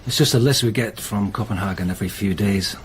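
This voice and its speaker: A male actor plays a practical police detective in a radio drama. He reports plainly and without fuss, in a medium-pitched voice, with faint ambient noise around him. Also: fast, close to an everyday voice. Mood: matter-of-fact. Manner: matter-of-fact, reporting details. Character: practical police detective colleague, a man, matter-of-fact.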